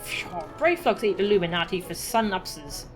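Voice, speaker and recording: A woman voicing an excitable female goblin scavenger who talks in broken grammar and refers to herself by name. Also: medium pitch, moderate pace, over music, natural voice. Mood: boastful.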